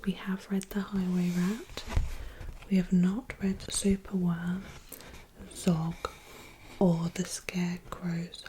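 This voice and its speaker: A woman reading a picture book aloud in a soft whisper, pointing out the illustrations as she goes. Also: lowish voice, little pitch movement, takes it slowly, background noise. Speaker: storybook reader. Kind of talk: whispered ASMR storybook reading.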